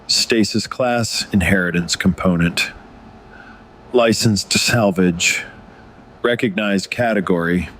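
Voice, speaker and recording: A man's voice: low-pitched, slow, fairly bright tone, a little hiss or hum, rough recording. A man voicing a wry, evasive salvage contractor in a science fiction story, with a dry, understated manner. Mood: guarded, dry.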